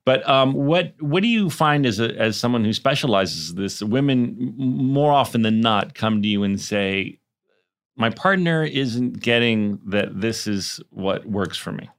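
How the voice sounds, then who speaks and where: A man's voice: mid-range voice, lively intonation, a little slow. A man hosting a podcast, interviewing guests in a casual, rambling way with joking asides.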